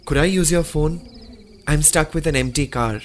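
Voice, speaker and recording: A man's voice: a little high, normal speed, lightly acted. A man acting a stranded, anxious but polite husband who narrates an eerie night in a radio drama, in a theatrical, suspenseful way. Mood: polite, uneasy. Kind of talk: dramatic first-person radio play narration. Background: prominent music.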